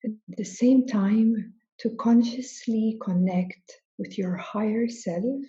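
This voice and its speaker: Very slow-speaking woman guiding meditation. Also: a little high, mellow, dull audio. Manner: slow, deliberate phrases with long pauses. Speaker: spiritual teacher leading meditation. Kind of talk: guided meditation.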